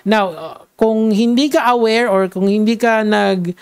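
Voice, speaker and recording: Male vlogger with a Filipino accent, high gravelly voice, dry close sound, rambling and lecturing as he switches between two languages. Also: steady tone, moderate pace, no room sound. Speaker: online commentator. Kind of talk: casual reaction vlog commentary.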